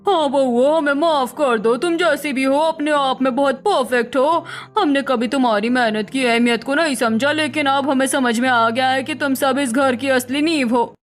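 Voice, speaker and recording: A woman's voice: high-pitched, moderate pace, few pauses, close to an everyday voice. A woman playing a worried, money-minded middle-aged mother-in-law in a cartoon family melodrama, speaking in a fretful, lamenting way. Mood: remorseful.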